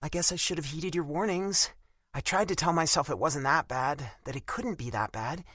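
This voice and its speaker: A man's voice: fairly high pitch, normal speed, no echo, clean studio sound, pitched above the performer's own voice. A man voicing an irritated young American woman who talks bluntly and with sarcastic bite. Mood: hurt. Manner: sharp, sarcastic, cutting.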